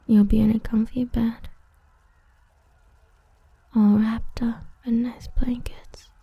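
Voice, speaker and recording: A woman playing an obsessive, protective villain with destructive powers, whispering softly and possessively into a close mic in an ASMR roleplay. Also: mid-range voice, gravelly, husky, no room sound, narrow, tinny audio, heavily acted.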